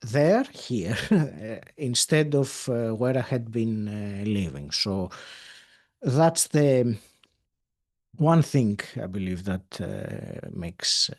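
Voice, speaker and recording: Greek guy, 50s, podcast guest, english is his second language, lots of uhs and pauses, thinks out loud. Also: lowish voice, big pitch swings. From Greece. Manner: thoughtful, hesitant, many pauses and fillers. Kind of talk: interview conversation.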